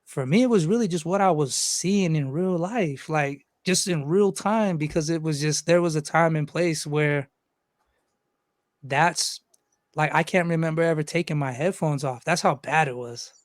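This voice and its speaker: A man's voice: fairly high pitch, moderate pace, dry recording. A young man from Los Angeles, a rapper talking loosely in a casual interview, rambling and swearing freely. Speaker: guest rapper.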